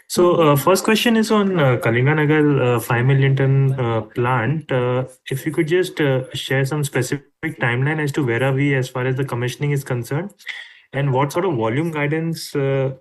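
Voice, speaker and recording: Guy analyst asking something on a call, hesitant, polite, mid pitch. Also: normal speed, poor audio. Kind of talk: conference call question.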